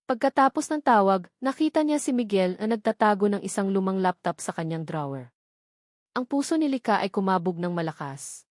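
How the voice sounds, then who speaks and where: A woman's voice: mid-range voice, expressive, a little fast, darkish tone, no room sound, clean studio sound. A woman with a Filipino accent reading a scripted romantic drama as a storyteller. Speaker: story narrator. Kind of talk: scripted dramatic narration of a Tagalog love story.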